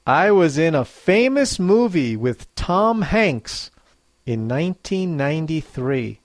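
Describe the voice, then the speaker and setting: A teacher running a guessing quiz for learners, reading each clue slowly and clearly, then repeating it. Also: mid-range voice, big pitch swings, dry recording, clean studio sound. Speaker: English teacher. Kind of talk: slow quiz dictation for learners.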